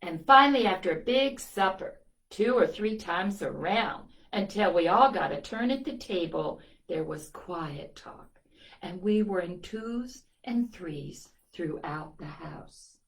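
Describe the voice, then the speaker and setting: A woman's voice: on the low side, lively intonation, takes it slowly, low-quality recording. A woman reading a picture book aloud to children, telling it expressively and adding little asides to her young listeners. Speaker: children's storytime reader.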